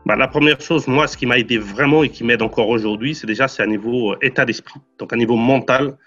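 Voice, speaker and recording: Earnest man from Portugal, husky voice. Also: mid-range voice, quick pace, a bit bright, muffled recording, faint music behind. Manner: earnest, repeats words, many fillers. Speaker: real estate investor guest. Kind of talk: interview answers.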